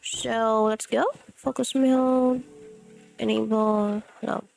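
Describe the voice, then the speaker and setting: A woman's voice: fairly high pitch, level tone, takes it slowly, gravelly, a little mellow, soft background music, low-quality recording. A woman from the Philippines with a Filipino accent, whose first language is Tagalog, giving rambling gameplay commentary alone in her room, with a voice still recovering, many fillers and self-corrections. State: voice recovering after being lost. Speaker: game streamer.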